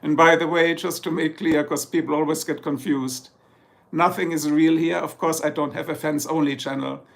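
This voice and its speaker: A man's voice: a little high, steady tone, moderate pace, creaky. A man from Germany with a German accent, chatting casually to his livestream viewers, rambling and joking and slipping into German now and then. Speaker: livestream host. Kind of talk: casual livestream chat reading comments. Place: home in Ohio, US.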